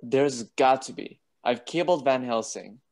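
A man playing a courteous, troubled gentleman doctor with polite, formal speech in a radio play. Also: mid-range voice, unhurried, vocal fry. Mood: worried.

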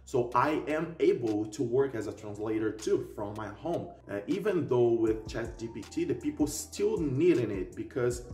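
A man's voice: medium pitch, animated, moderate pace, few pauses, dark tone. A young man, a native Portuguese speaker with a Portuguese accent, giving a casual, emphatic explainer as a video host. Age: young adult. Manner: emphatic, often tags sentences with "okay?". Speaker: YouTube host. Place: living room at home, indoors. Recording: roomy echo, distant mic, faint music.

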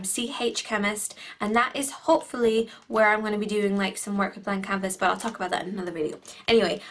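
A young Irish woman in her twenties, a bit croaky, chatting in a gushing, bubbly way with lots of fillers, filmed by a desk camera in her bedroom. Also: medium pitch, fairly bright tone, a little hiss or hum. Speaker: beauty vlogger. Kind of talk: casual haul video. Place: bedroom at home.

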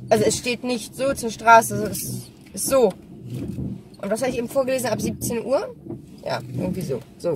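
Casting: woman, thirties, fairly high voice, candid rambling vlog chat, recorded in a car with loud noise. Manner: candid, rambling, thinking aloud. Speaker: vlogger. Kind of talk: casual chat to camera. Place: inside a car. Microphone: dashboard-mounted camera or phone. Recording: noisy car interior, some distance from mic.

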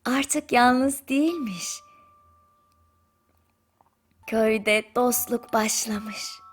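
A woman narrating a bedtime story in a soothing, gentle manner with drawn-out pauses. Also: a little high, husky. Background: music.

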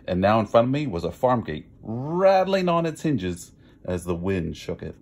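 Expressive, gravelly man reading a storybook. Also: on the low side, a little hiss or hum. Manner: theatrical, drawn-out emphasis. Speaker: storybook reader. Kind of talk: expressive picture book read-aloud.

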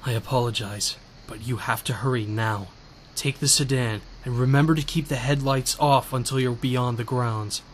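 A man playing an anxious, tender estate gentleman in a radio play, earnest and measured. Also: mid-range voice, steady tone, lightly acted. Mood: urgent. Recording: clearly noisy ambience behind voice.

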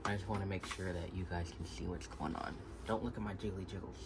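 A man's voice: low voice, fairly even intonation, fast talker. A young man in his twenties talks loosely to his camera while setting up a home gym, with lots of fillers and casual swearing. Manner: rambling, loose and cursing, with many fillers. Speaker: vlogger. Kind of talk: casual chat. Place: small home gym and room with colored lights, indoors. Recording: clicks and clear room noise.

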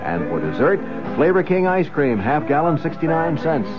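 A man's voice: on the high side, sing-song, normal speed. A man announcing in the style of a 1970s radio commercial. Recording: dull old radio, music behind.

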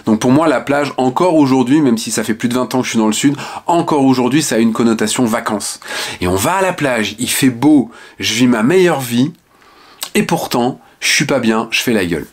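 A man's voice: mid-range voice, animated, brisk. A man in his fifties from the Ardennes, frankly telling his life story to the camera at home with hesitant fillers. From Ardennes, France. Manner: frank and reflective, many hesitant "euh" fillers. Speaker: author and online speaker. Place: small home room with shelves and lamp.

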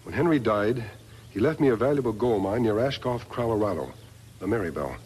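An actor playing a steadfast, noble western hero in a 1950s radio drama, measured, earnest and authoritative. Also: male voice, fairly low pitch, expressive, darkish tone, husky. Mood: reading aloud. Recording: noisy vintage 1950s radio recording.

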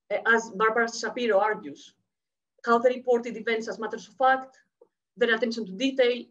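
Fast-talking Greek-accented woman on a call. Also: on the high side. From Greece. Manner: fast-talking, checks in with the audience. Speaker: academic speaker. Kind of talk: conference paper reading. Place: home room, indoors. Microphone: laptop webcam mic, video call. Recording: muffled lo-fi video call audio.

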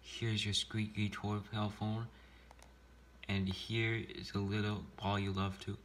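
A man as a gentle, pleading police chief. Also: lowish voice, level tone, measured pace, a little hiss or hum. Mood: sad, tender. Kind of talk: children's storybook read-aloud.

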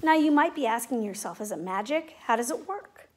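A middle-aged woman teacher speaking in simple, clear phrases to young children, as in a read-aloud or classroom demonstration. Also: a little high, animated, fairly quick, a little mellow, slight background noise. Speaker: teacher making videos for pupils. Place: indoors at home, living room and kitchen. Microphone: device mic at about arm's length.

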